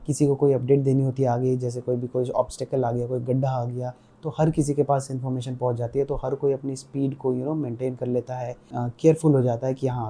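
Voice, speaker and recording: A man's voice: medium pitch, mellow. A man with an Indian accent giving a casual product review, explaining step by step and mixing Hindi with English. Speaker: motorcycle gear reviewer.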